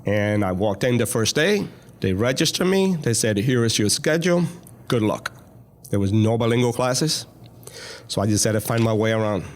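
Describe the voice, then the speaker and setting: A man's voice: fairly low pitch, lively intonation, moderate pace. A man in his sixties, from Cuba with Spanish as his first language, plainly and earnestly recounting his life story as a school board president in an interview.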